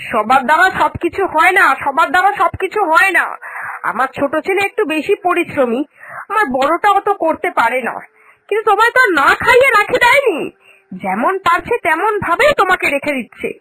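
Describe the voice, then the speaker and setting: A stern older mother-in-law voiced by a woman for a cartoon, high and piercingly bright. She scolds defensively, her pitch moving up and down a great deal. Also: big pitch swings, a little fast, heavily acted, rough recording. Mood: defensive, scolding. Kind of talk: cartoon voice acting.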